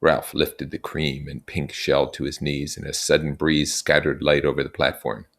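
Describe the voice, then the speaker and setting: A man reading a story aloud and explaining it with casual, expressive comments. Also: a bass voice, normal speed, gravelly. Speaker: reader and teacher explaining a novel.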